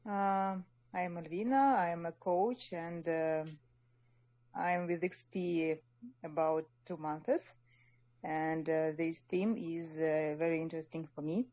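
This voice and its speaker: Slow, mellow woman, distant on a call. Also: low voice, fairly even intonation, dull audio. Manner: brief, hesitant. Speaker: coach. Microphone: video call.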